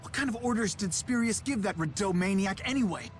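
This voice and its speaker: A man's voice: high-pitched, expressive, fairly quick, a little hiss or hum. A man voicing an irritable, outspoken young man in an anime game. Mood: irritated.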